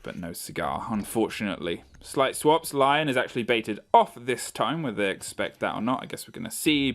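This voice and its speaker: A man's voice: on the low side, fairly bright tone. A man giving live commentary and analysis on a competitive video game match. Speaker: esports commentator.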